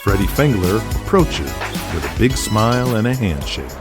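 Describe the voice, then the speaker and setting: Low-voiced man narrating an audio drama. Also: very animated, normal speed, loud music behind the voice.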